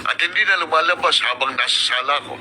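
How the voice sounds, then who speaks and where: A man's voice: a little high, fast, sharp tone. An actor playing a bitter, suspicious middle-aged father in a radio drama, grumbling and agitated. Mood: bitter, brooding. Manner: grumbling, agitated, rhetorical questions. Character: bitter resentful working-class father, suspicious of his son. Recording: noisy radio broadcast.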